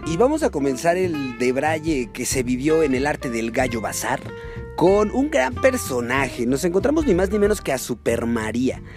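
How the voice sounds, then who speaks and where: A man's voice: mid-range voice, very animated, normal speed, music in the background. A man with a Mexican accent hosting a podcast, talking in a laid-back, slangy way. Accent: Mexican Spanish. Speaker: podcast host. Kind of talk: casual podcast chat and solo commentary.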